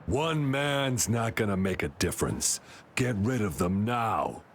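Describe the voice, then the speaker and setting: Low, cold, menacing gang boss. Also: male voice, big pitch swings, unhurried, a little hiss or hum. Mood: cold menace. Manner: curt, commanding. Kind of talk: video game villain acting.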